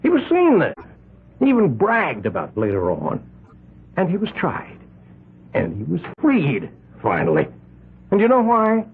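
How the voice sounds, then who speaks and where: Muffled vintage radio sound carries an older, wealthy American man heading a vigilante committee. His husky voice swings widely in pitch as he justifies himself in halting, emphatic bursts, full of agitation. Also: huge pitch swings, full character voice. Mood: indignant. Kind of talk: old-time radio drama acting. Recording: muffled, narrow-band old radio recording.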